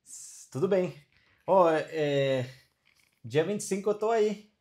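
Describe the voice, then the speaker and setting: A man teaching a casual online class on Vedic studies and Ayurveda over a video call, relaxed and often checking in with his listeners. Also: fairly high pitch, slow pace.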